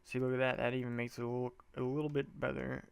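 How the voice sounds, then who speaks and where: A man's voice: medium pitch, level tone, fast talker, vocal fry, clear, resonant tone. Stuffy-nosed man rambling over gameplay. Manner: rambling, loose, trails off and restarts often; slangy and laid-back. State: congested, stuffy nose. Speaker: gameplay video host. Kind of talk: casual let's-play commentary, thinking aloud.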